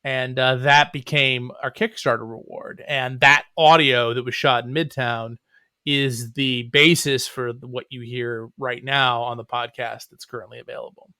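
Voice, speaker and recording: A man's voice: medium pitch, steady tone, normal speed, pushed and bright, clear, resonant tone, no echo. A middle-aged man chatting casually as an interview guest, with a wry, joking manner. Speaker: comics writer and playwright, interview guest.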